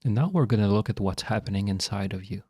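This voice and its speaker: A man leading a guided meditation as a guide, speaking in a calm, measured, instructional way with long pauses. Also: lowish voice, husky, no echo.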